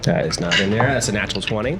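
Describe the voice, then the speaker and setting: A man's voice: on the low side, rapid-fire. A man talking casually as a player in a tabletop game. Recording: loud cinematic music underneath.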